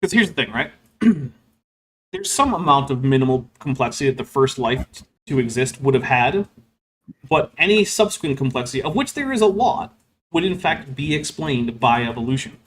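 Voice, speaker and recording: A man's voice: medium pitch, sing-song, normal speed, a bit bright. A man hosting a stream, reading super chats and commenting on a clip in a casual, wry way, filling his talk with "like" and "um". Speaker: host.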